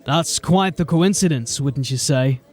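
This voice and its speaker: High-voiced, wry police sergeant. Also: male voice, measured pace, darkish tone. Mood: dry, determined. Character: brisk, dryly authoritative male police sergeant. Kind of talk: fantasy audio drama acting.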